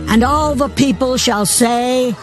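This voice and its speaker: A man's voice: very high-pitched, takes it slowly. A man narrating dramatized scripture in a solemn, declamatory way, with music behind.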